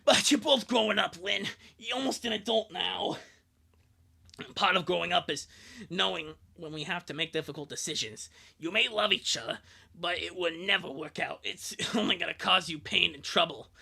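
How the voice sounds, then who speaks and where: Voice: medium pitch, normal speed, vocal fry, sharp tone, breathy edge, heavily acted. A performer voicing an earnest, consoling cartoon parent who speaks emphatically to the children in a fan-comic dub. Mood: stern concern.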